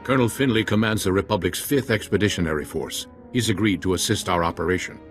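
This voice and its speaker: An actor playing a male officer giving briefings in a game cutscene, in a brisk, businesslike way. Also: low-pitched, natural voice. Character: male Republic officer, brisk military planner. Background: game music.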